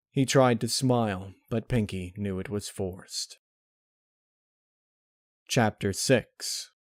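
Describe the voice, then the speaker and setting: A man's voice: on the low side, a little mellow, no echo, clean studio sound. A man reading a story aloud as a narrator in scripted prose. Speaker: narrator reading fan fiction.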